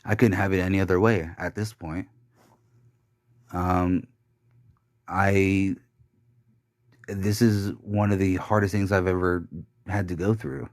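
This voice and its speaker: A man's voice: deep voice, flat delivery, dry recording, clean studio sound. A young man recording a confessional podcast monologue, bitter and rambling, swearing often and restarting his sentences. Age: young adult. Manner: halting, with many restarts and fillers.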